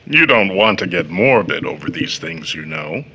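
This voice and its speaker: An actor playing a confident, domineering older suitor in a scripted audio drama. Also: male voice, sits low, big pitch swings, moderate pace, bright, forceful tone, a little hiss or hum, heavily acted, rough recording. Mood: patronizing, persuasive.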